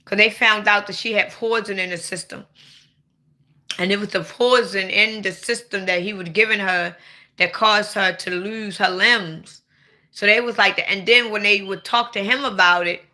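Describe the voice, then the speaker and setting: Bright-voiced playful woman in her forties. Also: mid-range voice. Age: 40s. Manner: blunt, playful, swings to sudden loud outbursts. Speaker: livestream host. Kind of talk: casual livestream chat.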